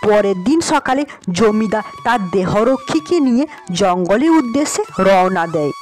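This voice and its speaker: A woman's voice: mid-range voice, lively intonation, normal speed, a little mellow, faint music behind. A woman narrating a scripted cartoon fairy tale as a storyteller.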